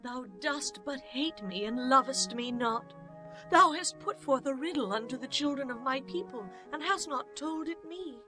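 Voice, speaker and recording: An actress plays a biblical woman full of reproach. Her voice sits on the high side, pleading and emotive, with soft music beneath the words. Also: moderate pace, lightly acted. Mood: tearful reproach. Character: reproachful, pleading woman of ancient Israel's time. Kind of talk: dramatized scripture acting.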